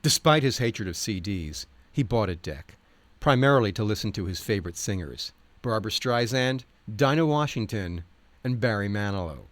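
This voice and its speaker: A man reading scripted nonfiction in a wry, expressive storytelling manner. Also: on the low side, normal speed, no echo. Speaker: audiobook reader. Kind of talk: scripted nonfiction narration with acted quotes.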